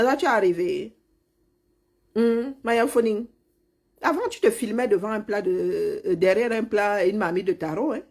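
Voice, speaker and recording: A woman's voice: medium pitch, a little mellow, breathy edge. A middle-aged woman, mockingly scolding a politician in a heated live-stream rant. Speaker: commentator replying to a politician.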